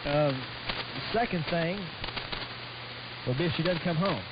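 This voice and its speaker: A man's voice: on the high side, measured pace. A man co-hosting loose, comedic talk radio. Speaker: radio show co-host. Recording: hissy, muffled shortwave radio recording.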